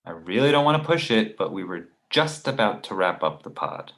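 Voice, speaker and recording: A man's voice: on the low side, very animated, moderate pace, fairly bright tone. A man playing a timid, conciliatory friend who makes podcasts, in a table read of a screenplay. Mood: placating.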